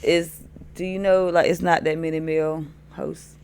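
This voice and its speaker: A woman DJ with a deep voice, chatting as a podcast guest in a laid-back, hesitant way. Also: little pitch movement, moderate pace, vocal fry, a little mellow, smooth. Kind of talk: casual interview chat.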